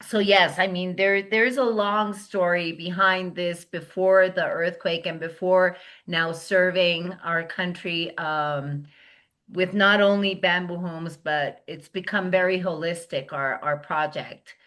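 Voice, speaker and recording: A middle-aged woman, a guest on an interview show, talking in a relaxed way and often pausing, restarting and searching for words. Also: on the low side. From United States. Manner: slow, searching for words, many fillers and restarts. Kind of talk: casual interview talk.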